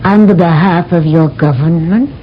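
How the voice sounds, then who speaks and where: An actress playing a regal alien queen who speaks in a slow, measured, commanding way, in a 1970s radio drama. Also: lightly acted. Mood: imperious. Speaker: queen Lura. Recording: dull old radio broadcast with faint rumble.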